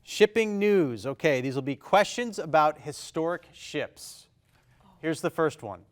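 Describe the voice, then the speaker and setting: Upbeat quiz show host reading questions. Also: male voice, fairly high pitch, expressive. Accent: Maine. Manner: brisk and upbeat, quick reactions to answers. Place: television studio.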